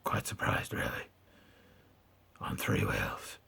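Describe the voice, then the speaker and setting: A brooding caped vigilante growls out every line, voiced by a man commentating a game. The voice sits very low with thick gravel and crackle, rough and breathy at the edges, and the delivery drags slow and heavy. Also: flat delivery, pushed and bright, in a whisper, full character voice. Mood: gruff. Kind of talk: gaming commentary in character.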